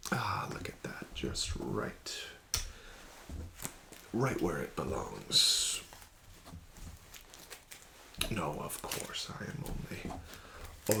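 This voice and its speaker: A man doing an ASMR roleplay as a casino card dealer, talking slowly and softly with smug, teasing patter that slips into whispers. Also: low voice, creaky. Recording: audible background noise.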